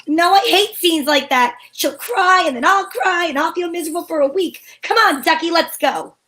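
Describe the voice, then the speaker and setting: A woman's voice: extremely high pitch, normal speed, a bit bright. A woman playing a breezy, sensible, take-charge female sleuth in an old-time radio mystery, with a wry manner. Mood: wry, matter-of-fact. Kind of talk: old-time radio mystery acting with first-person narration.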